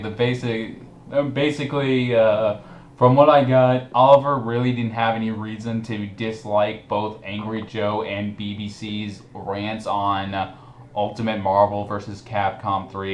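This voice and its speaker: A man hosting a casual group commentary chat, speaking in a mocking manner. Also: on the low side. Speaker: commentary video host. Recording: echoey room with clear background noise.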